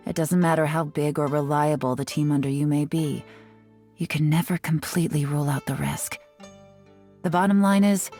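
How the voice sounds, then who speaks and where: Game spymaster: low female voice, composed and shrewd, sly teasing edge, measured delivery over prominent music actress in character. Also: a little mellow, natural voice. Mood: serious, wry. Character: cool, shrewd, teasing female intelligence agent. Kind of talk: scripted video game character monologue.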